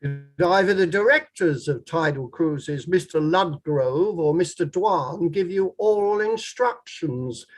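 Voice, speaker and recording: Man playing a high-voiced, methodical inquiry lawyer. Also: measured pace, few pauses, faint music behind. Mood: methodical, probing. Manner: formal, precise questioning. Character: male inquiry lawyer, methodical, formally probing. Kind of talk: table read of an inquiry play.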